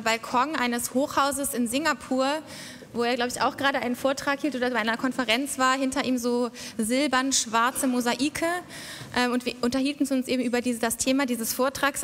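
A fairly high-voiced middle-aged woman introduces a guest speaker in a chatty, loose way, with hesitation sounds. She stands at a stage microphone in a large hall, and a long reverb follows her words. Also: fairly bright tone. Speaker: event moderator. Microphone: stand mic.

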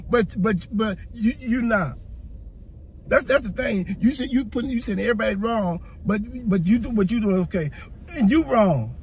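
A man's voice: extremely high pitch, a little hiss or hum. An older man, arguing in a car, stammering and repeating words, swearing often. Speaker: passenger uncle. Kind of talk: casual argument. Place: inside a parked car. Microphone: phone on dashboard.

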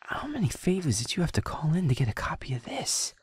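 A man playing a feisty, shaken young werewolf boyfriend in an intimate audio roleplay, husky and halting, muttering in a half-whisper. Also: on the high side, wildly swooping pitch, full character voice. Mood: tense, searching. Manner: halting, muttering, blunt swearing.

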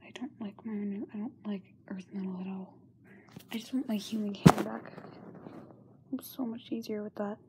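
A young woman playing a frightened, self-doubting young singer in a halting diary monologue, often dropping to a whisper. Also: medium pitch, fairly even intonation, close to an everyday voice. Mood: weary, downcast. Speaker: amateur voice actor. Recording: noisy makeshift amateur microphone recording.

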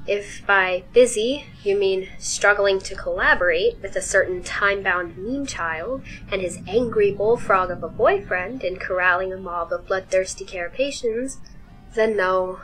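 A woman's voice: mid-range voice, moderate pace, a bit bright, lightly acted, low-quality recording. A woman voicing a wry, probing, articulate young woman in a fan dub, with an arch and wordy manner.

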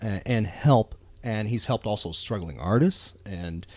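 Animated, earnest male podcast host. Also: lowish voice, no echo. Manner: earnest, halting, repeats words. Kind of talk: casual talk show monologue.

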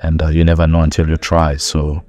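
A man in his thirties from South Africa, a bachelor on a dating show, introducing himself in a calm, laid-back way. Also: a bass voice, a little fast. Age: 30s. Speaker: bachelor contestant. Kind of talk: casual self-introduction.

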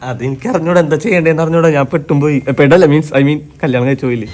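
A young man with a Kerala Malayalam accent, speaking casually and haltingly, as in a prank phone chat. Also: fairly high pitch, a little mellow. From Kerala, India. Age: young adult. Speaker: prank caller in a comedy channel. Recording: faint background noise.

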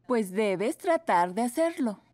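A woman's voice: on the high side, sing-song, takes it slowly, a little mellow, a little hiss or hum. A woman playing a kindly, patient mother panda in a preschool cartoon. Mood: gentle, soothing. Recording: music behind.

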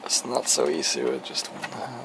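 A man's voice: very deep voice, moderate pace, gravelly. A young man talks casually and informally into a handheld camera, thinking aloud as he vlogs aboard a small sailboat. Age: young adult. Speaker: sailing vlogger. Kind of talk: casual vlog commentary. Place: small sailboat cockpit and cabin. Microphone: handheld camera at arm's length. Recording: noisy on-board camera audio, steady hum.

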